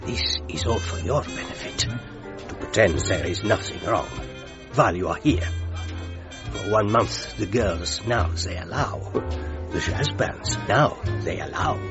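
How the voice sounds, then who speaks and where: A man playing a bitter, frightened Berliner in a radio drama, with a German accent and a halting, confiding manner. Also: fairly low pitch, animated. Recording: muffled radio drama with music behind.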